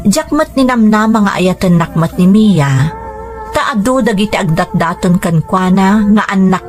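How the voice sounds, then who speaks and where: A woman with an Ilocano accent reading a scripted first-person drama narration. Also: fairly low pitch. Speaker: storyteller.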